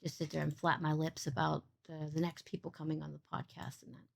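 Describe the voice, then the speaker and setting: A woman's voice: little pitch movement, a little fast, vocal fry. Bubbly rambling woman podcast host. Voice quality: big boomy voice. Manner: bubbly, swears freely, rambling. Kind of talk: casual livestream podcast chat.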